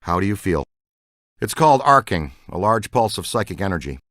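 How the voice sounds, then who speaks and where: Bright, animated ghost catcher. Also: male voice, low-pitched, brisk, dry recording, clean studio sound. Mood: low-key, wry. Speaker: video game voice actor. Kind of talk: video game character lines.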